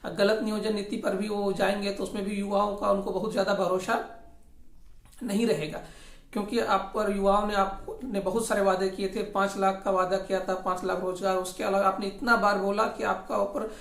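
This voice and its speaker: A speaker with a Jharkhand accent giving informal political commentary, often repeating and rewording phrases in a halting way. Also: medium pitch, little pitch movement, creaky, a bit bright. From Jharkhand, India. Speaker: host of a regional news commentary channel. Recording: echoey, roomy sound.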